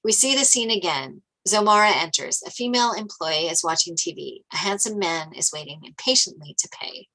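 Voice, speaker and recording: A woman's voice: fairly low pitch, animated, fairly quick, few pauses, pushed and bright, poor audio. A woman reading screenplay stage directions as scripted narration.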